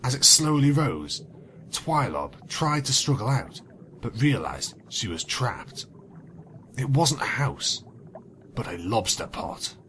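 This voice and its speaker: A man's voice: mid-range voice, big pitch swings, takes it slowly, a bit bright, slight background noise. A man reading fan fiction as a narrator, theatrical, with sarcastic, wry asides. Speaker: narrator and commentator.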